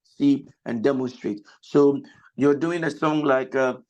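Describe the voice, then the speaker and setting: A man, a pastor and vocal lecturer, teaching an online voice class over a video call. He has a cold that roughens his voice, explains slowly, repeats his points and breaks into sung examples. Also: medium pitch, fairly even intonation, darkish tone, poor audio. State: has a cold, voice slightly lost.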